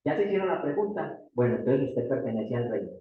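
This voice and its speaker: A man's voice: mid-range voice, big pitch swings, fast talker. A Colombian man with a Paisa accent preaching a sermon as pastor, emphatic, repeating key phrases and putting rhetorical questions to the congregation. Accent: Colombian Paisa Spanish. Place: church hall. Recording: distant echoey church room, phone-like thin sound.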